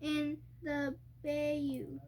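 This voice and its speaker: A young child reading a storybook aloud, haltingly and word by word, stumbling over harder words. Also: high voice, completely flat delivery, a little mellow.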